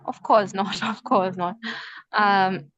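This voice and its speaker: A woman's voice: medium pitch, steady tone, slow pace, fairly bright tone, muffled recording. A young woman from Zimbabwe, a medical student, answering interview questions with many hesitant fillers. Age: young adult.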